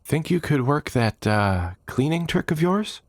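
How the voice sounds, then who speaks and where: A man as an earnest, higher-voiced young-hearted adventurer. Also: very animated, unhurried, no echo, studio quality. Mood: hesitant, asking. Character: earnest, hopeful male adventurer leading a fantasy band.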